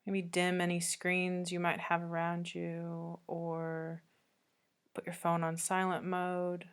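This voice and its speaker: A woman with a low voice leads a guided yoga meditation. Her delivery is very flat and steady, with little melodic movement. She gives gentle, calming instructions and leaves long pauses between phrases. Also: clean tone. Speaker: yoga nidra teacher.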